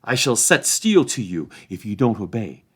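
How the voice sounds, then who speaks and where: A man's voice: lowish voice, big pitch swings, normal speed, husky. A man voicing a bold, indignant young hobbit warrior in a storybook reading. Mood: threatening. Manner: assertive, scolding.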